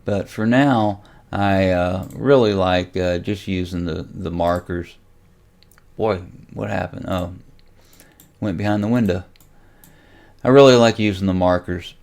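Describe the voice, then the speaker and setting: Low-voiced man giving a casual software walkthrough. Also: fairly even intonation, measured pace, long pauses. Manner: unscripted, hesitant, thinking aloud as he goes. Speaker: tutorial host and amateur radio hobbyist.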